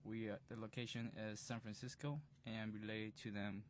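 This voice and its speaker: A man in his early twenties, a b-boy instructor, recalling in a relaxed way with frequent fillers during an interview. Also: fairly low pitch, brisk, slight background noise. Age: 20s. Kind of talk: recorded interview recollection. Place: museum interview room.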